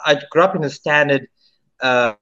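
A man's voice: a little high, moderate pace, bright, forceful tone, lo-fi sound. A South African singer in his thirties, answering interview questions in a rambling way with many restarts. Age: 30s. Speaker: singer-songwriter guest.

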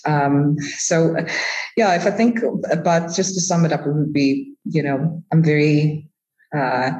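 A South African woman speaks as a guest in a casual interview. Her voice sits at a low pitch for a woman, and she talks in a halting, circling way, rephrasing as she explains. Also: mellow, rough recording.

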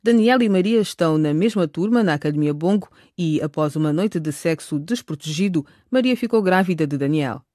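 Give me a radio drama narrator with a medium-pitched voice, recorded dry, telling the story so far. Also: lively intonation, brisk, hardly any pauses, dark tone, no echo.

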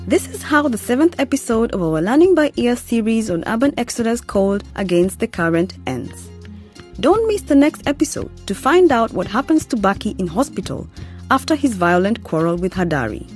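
A woman's voice: on the high side, sing-song, moderate pace. A woman presenter reading a scripted radio announcement. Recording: loud music behind voice. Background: music.

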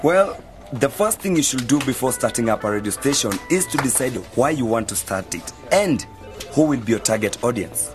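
A man's voice: medium pitch, animated, moderate pace, over music, natural voice. A man acting a confident, businesslike student journalist giving advice in a radio drama. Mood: advising. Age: young adult.

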